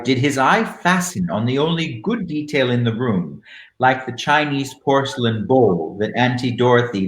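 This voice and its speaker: A male live-show host with a camp, theatrical delivery and deliberate phrasing. His mid-pitched voice comes through with a clearly roomy echo, as he chats casually or reads aloud with drama. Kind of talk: casual live chat with dramatic book readings. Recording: roomy, echoing live-stream sound.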